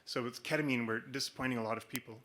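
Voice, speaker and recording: A man with a booming voice, a physician speaking up from the audience at a conference with lively, chuckling asides. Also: very fast, a little hiss or hum. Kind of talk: conference floor comment.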